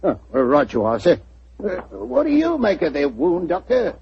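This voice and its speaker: A blunt, impatient police inspector. His gravelly voice sits a bit high and jumps around. It comes through a dull old radio recording with faint hiss. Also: wildly swooping pitch, normal speed, heavily acted. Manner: brusque, impatient. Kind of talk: 1940s radio acting.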